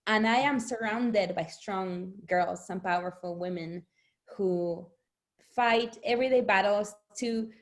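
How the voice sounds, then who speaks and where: Lively Argentine-born woman author. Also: mid-range voice. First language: Spanish. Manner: animated, laughs often, restarts words. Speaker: author guest. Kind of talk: conversational interview.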